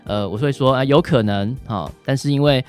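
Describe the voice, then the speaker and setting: A man's voice: medium pitch, fairly quick. A man with a Taiwanese Mandarin accent, a doctor giving informal advice as a guest, often ending phrases on small particles. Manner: frequent trailing particles, role-plays examples in passing. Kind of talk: informal question-and-answer advice. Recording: music behind the voice.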